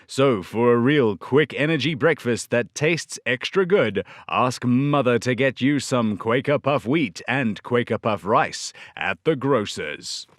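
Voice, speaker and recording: A man plays an earnest 1930s radio announcer. His mid-pitched voice delivers scripted lines with a pitchman's emphasis. The sound is dry, with no room echo. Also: lively intonation, measured pace, few pauses, close to an everyday voice. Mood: enthusiastic selling.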